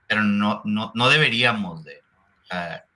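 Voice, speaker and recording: Host, male franchise consultant, low register with a sharp edge, restarts a lot, then rattles off questions. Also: animated. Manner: hesitant restarts, then rapid rhetorical questions. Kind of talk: casual interview talk.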